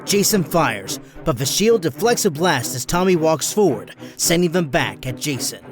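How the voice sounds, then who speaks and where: A man's voice: on the high side, very animated, moderate pace, loud music behind the voice. A man narrating a scripted action audio drama in dramatic storytelling style.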